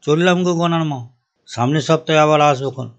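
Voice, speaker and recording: A man's voice: a little high, fairly quick, natural voice. A man voicing a sanctimonious, sweet-talking older village moneylender with an unctuous, preachy way of speaking, in a cartoon. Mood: sly, preachy. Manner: unctuous, pious, chanting devotional phrases. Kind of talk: Bengali cartoon voice acting.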